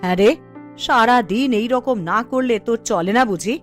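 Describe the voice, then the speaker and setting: A woman's voice: fairly high pitch, normal speed, faint music behind, close to an everyday voice. Chiding elderly mother-in-law played by a woman. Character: scolding elderly mother-in-law calling the household to order. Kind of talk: cartoon voice acting.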